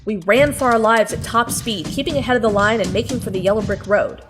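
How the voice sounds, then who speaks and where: Woman as stubborn girl adventurer, high-pitched, very lively, sarcastic, audio drama, prominent music behind. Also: quick pace, close to an everyday voice. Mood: wry narrating. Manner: feisty, blunt, wry. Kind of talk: audio drama acting and first-person narration.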